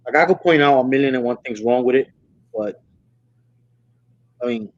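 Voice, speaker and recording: A man casually explaining sneakers in quick, rambling stretches. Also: medium pitch, dull audio. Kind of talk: casual chat.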